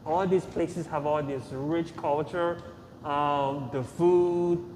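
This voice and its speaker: A man in his thirties, raised in Nigeria, answering a podcast host's questions with a fairly high voice and plenty of "uh" and "you know". The room is echoey with a long reverb, and clear ambient noise runs underneath. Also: fairly even intonation, slow pace. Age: 30s. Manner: soft-spoken, hesitant, many fillers, short yes answers. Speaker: podcast guest. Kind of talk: casual interview answers.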